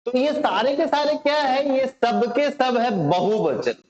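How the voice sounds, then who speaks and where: A man with an Indian accent teaching English informally, repeating phrases slowly and often checking that learners understand. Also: extremely high pitch, expressive, a little mellow. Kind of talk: informal spoken lesson. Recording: roomy echo, slightly dull sound.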